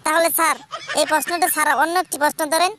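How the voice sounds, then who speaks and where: A woman plays a cheeky cartoon schoolchild with a very high, bright voice. The pupil speaks with cocky, matter-of-fact confidence. There is faint noise on the recording. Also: fairly even intonation, fast talker. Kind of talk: comedy cartoon dialogue.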